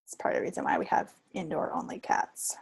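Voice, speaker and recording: A woman's voice: fast, no room sound, poor audio. A woman co-hosting a podcast in casual chat, talking in a rambling, jokey way. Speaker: podcast co-host.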